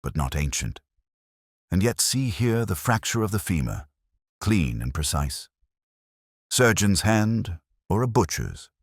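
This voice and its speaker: A man voicing a precise, cool, watchful male detective in an audiobook story, speaking in a measured, analytical way. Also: deep voice, big pitch swings, husky, no echo, clean studio sound, pitched above the performer's own voice, exaggerated voice. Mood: coolly observant.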